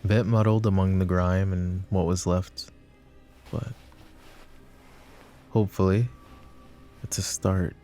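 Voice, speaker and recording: A man's voice: low voice, flat delivery, slow pace, pauses often, mellow, soft background music. A man playing a possessive, protective mafia boss in an intimate, sardonic boyfriend ASMR roleplay. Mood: tense, brooding. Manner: intimate, brooding, sighing. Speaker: voice actor.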